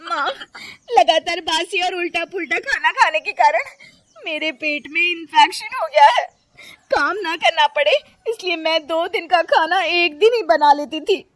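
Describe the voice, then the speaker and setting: A woman voicing a lazy, brazen, whining elder daughter-in-law in a cartoon story, loud and dramatic. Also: very high-pitched, animated, unhurried, dry recording, pitched above the performer's own voice, heavily acted. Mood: tearful, repentant.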